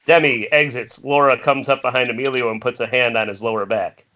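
A man's voice: mid-range voice, steady tone, a little fast, bright, forceful tone, slight background noise. A man reading stage directions as narrator at an amateur table read over an internet call. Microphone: remote call from home. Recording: muffled internet call audio.

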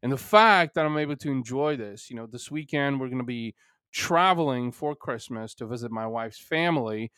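A man's voice: medium pitch, normal speed, fairly bright tone, clean tone, no room sound. A man born in Bulgaria, a native Bulgarian speaker, hosting a solo podcast in an earnest, rambling way full of "you know" fillers. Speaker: podcast host.

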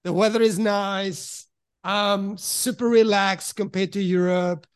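A middle-aged man from Italy who grew up in Switzerland, with a high voice, recounting his past in a frank, reflective way. He pauses to search for the right words as he talks. The sound is dry and close, with no room echo. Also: steady tone, clear, resonant tone. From Italy, raised in Switzerland. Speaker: podcast guest. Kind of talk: candid personal storytelling in conversation.

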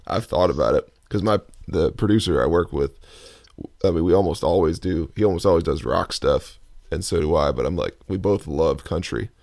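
A very low, gravelly male baritone, hosting a laid-back interview. He hedges and restarts often. The sound is dry and close, with no room around it. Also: normal speed, darkish tone, dry recording. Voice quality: deep baritone. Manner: hesitant, many ums and restarts. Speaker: podcast host. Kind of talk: casual interview chat.

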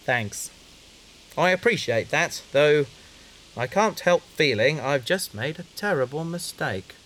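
An actress playing an earnest researcher on a risky space mission, pleading and challenging in an audio drama. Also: female voice, sits low, lively intonation, slow, poor audio. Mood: rueful. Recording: faint hiss behind the voice.

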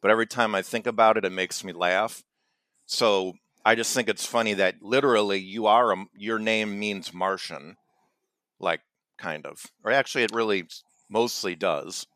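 A podcast co-host with a lowish voice rambles through a joke, teasing his partner and restarting his sentences. His delivery is loose and casual. The recording is dry and close. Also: moderate pace, a bit bright, no echo. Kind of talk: casual comedic chat.

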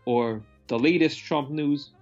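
A man's voice: a little high, slow pace, darkish tone. A man co-hosting a film discussion, talking casually in a scornful, sweary, opinionated way. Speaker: film discussion show co-host. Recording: dull lo-fi mic, faint music.

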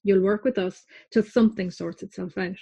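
A woman's voice: medium pitch, fairly even intonation, normal speed, gravelly, mellow, husky, lo-fi sound. A woman voicing a stern farming father who states his decisions plainly. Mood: matter-of-fact. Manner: matter-of-fact and decisive.

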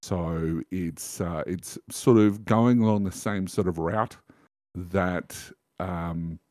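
A man's voice: low-pitched, slow pace, a little mellow, no room sound, studio quality. A man in his fifties chatting as a podcast guest, enthusiastic as he recommends something. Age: 50s. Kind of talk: casual podcast chat.

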